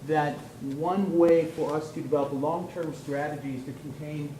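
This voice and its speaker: A loud-voiced middle-aged man giving a business presentation at a meeting, with frequent fillers and "okay?" check-ins to the room. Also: on the high side, fairly even intonation, a little slow, noisy background. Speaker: wellness program presenter. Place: school district meeting room.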